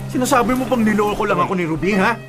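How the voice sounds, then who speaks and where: A man's voice: high-pitched, very fast, natural voice. A man playing an emotional, defiant, lovelorn man stubbornly searching for his girlfriend in a Filipino radio drama. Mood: angry, desperate. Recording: loud music bed behind dialogue.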